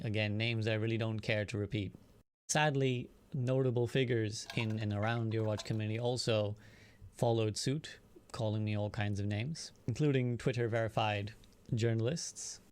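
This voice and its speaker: A man, an online streamer, giving a measured, prepared personal statement in earnest, articulate, formal wording. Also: on the low side, steady tone, clear, resonant tone, dry recording.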